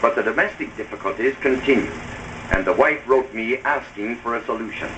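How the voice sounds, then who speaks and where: A male host with a medium-pitched voice gives a formal, oratorical 1930s radio address. The old soundtrack is dull and noisy. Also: fairly quick. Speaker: host and advice giver.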